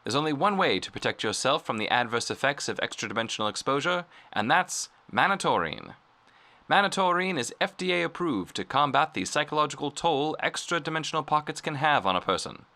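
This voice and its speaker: A man playing an earnest old-time radio pitchman, delivering a polished, salesmanlike vintage advertisement. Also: very animated, fairly quick, pushed and bright, heavily acted.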